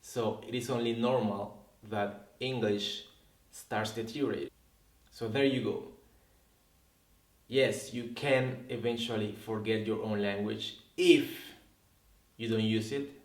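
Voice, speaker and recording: A man's voice: lowish voice, fairly even intonation, slow. A man whose first language is Spanish, a language teacher hosting a reflective talk to camera, earnest and often repeating words as he searches for them. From Latin America. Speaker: language teacher and video host. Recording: roomy, distant sound.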